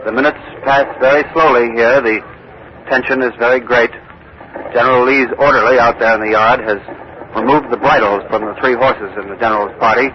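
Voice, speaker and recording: Actor as earnest radio correspondent, muffled vintage recording. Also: male voice, medium pitch, fairly even intonation, natural voice. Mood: solemn, hushed. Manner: measured, dramatic, hushed pauses between phrases. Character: earnest male radio news correspondent reporting live from history. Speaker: reporter. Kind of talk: 1940s radio acting, live news commentary. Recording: noisy, muffled old radio broadcast.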